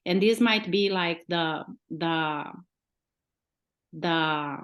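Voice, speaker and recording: A woman's voice: on the low side, very slow, fairly bright tone. Brazilian lady doctor on a podcast, walks thru it step by step, keeps asking right?. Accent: Brazilian Portuguese. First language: Portuguese. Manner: explains step by step, frequent restarts and "right?" check-ins. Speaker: guest physician. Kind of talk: podcast interview explaining.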